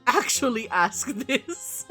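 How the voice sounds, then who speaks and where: A woman's voice: a little high, sing-song, slow, sharp tone, breathy edge, faint music behind. A woman livestreaming a visual novel, reading game text aloud and reacting with giggly, irreverent, slangy asides. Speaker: streamer playing a visual novel.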